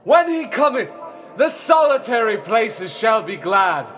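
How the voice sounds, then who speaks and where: High-voiced, slow, fervent prophet played by a man. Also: very animated, a bit bright, dull audio, faint music behind, exaggerated voice. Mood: solemn fervor. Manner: solemn, proclaiming. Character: fervent male prophet proclaiming a coming saviour. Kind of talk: declamatory audio drama acting.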